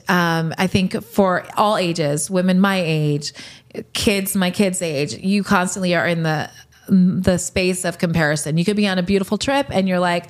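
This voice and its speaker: A woman in her late forties, a podcast host, answering rapid-fire questions in a candid, reflective way and laughing easily. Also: fairly low pitch. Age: 40s.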